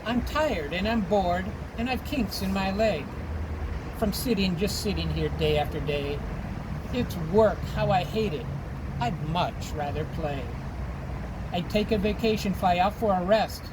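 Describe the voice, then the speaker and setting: A man's voice: high voice, a little slow, noisy background. A man voicing a lazy female storybook bird who coaxes and pleads in a sing-song way. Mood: bored, grumbling. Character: lazy, wheedling female bird wanting a vacation.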